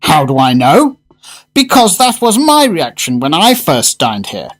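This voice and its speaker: An actor playing a fussy, fervent gourmet in a radio drama, speaking in grandiose, emphatic phrases. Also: male voice, on the high side, huge pitch swings, measured pace, exaggerated voice. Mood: earnest, lecturing. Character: fussy, fervent gourmet diner, a well-spoken man.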